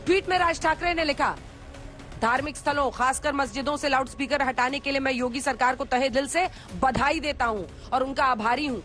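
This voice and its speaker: A woman's voice: high voice, brisk, fairly bright tone. A woman reading scripted news narration in an emphatic, dramatic way as a reporter. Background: background music.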